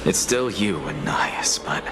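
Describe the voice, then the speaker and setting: An actor playing a wry, haughty young scholar in a video game, with a measured, sardonic, theatrical delivery. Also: male voice, low-pitched, lively intonation, noisy background, full character voice. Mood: pensive.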